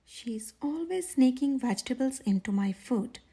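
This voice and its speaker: A woman's voice: high-pitched, expressive, moderate pace, warm tone. A woman voicing a suspicious, dramatic preschool boy in a storybook, confiding and complaining. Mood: secretive. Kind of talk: children's storybook read-aloud.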